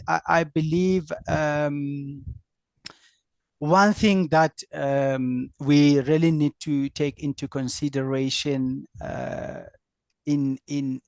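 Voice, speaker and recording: A middle-aged man from South Africa with a South African accent whose first language is Afrikaans, answering as a business coach on an online panel, halting with fillers and restarts. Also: mid-range voice, vocal fry, dry recording. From South Africa, Free State. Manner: halting, many fillers and restarts, laughs easily.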